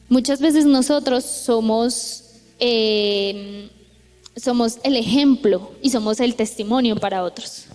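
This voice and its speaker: A young Colombian woman with a Colombian accent, preaching a chatty youth sermon with stories, often checking in with tag questions. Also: a little high. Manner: chatty, frequent tag questions, lively retelling. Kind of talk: conversational sermon with storytelling. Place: church hall. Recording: echoey church hall.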